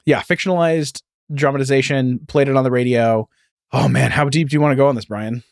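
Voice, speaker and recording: A man's voice: medium pitch, steady tone, brisk, vocal fry, no echo, clean studio sound. A man in his thirties co-hosting a podcast, talking casually in a rambling way and laughing often. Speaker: podcast co-host. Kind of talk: casual chat.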